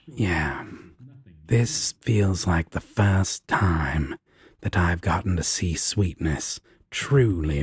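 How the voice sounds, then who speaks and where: A man playing a teasing, soft-hearted demon roommate in an intimate audio roleplay, talking casually and with gruff affection. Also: sits low, heavily acted.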